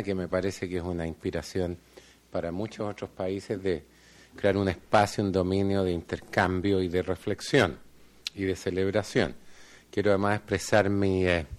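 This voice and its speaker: A deep-voiced middle-aged man addresses a panel in a conference hall. He speaks in a measured, formal way and often stops on small fillers as he builds his points. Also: a little mellow. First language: Spanish. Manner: measured, hesitant with frequent fillers. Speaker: panel speaker, law school dean.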